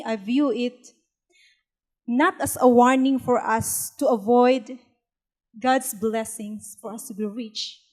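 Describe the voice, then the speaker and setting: A woman from the Philippines, a business PhD student on a panel, answering earnestly with a few fillers. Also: fairly high pitch.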